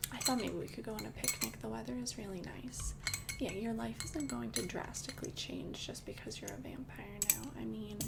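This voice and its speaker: A young woman voicing a calm, doting female vampire girlfriend in an ASMR roleplay, speaking in soft, intimate whispers close to the mic. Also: a little high, creaky, background noise. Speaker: ASMR creator.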